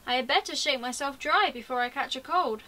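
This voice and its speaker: A woman voicing an eager, heedless little boy puppy in a storybook, childlike and expressive. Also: high voice, a little fast. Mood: shivery.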